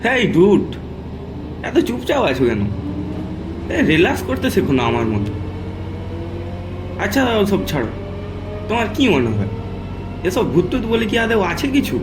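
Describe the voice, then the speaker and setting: A man's voice: high voice, huge pitch swings, normal speed, pauses often, over music. A man playing a carefree, chatty young sidekick in a horror cartoon, talking in a breezy, exclamatory way. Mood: cocky, teasing. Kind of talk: Bengali horror cartoon voice acting.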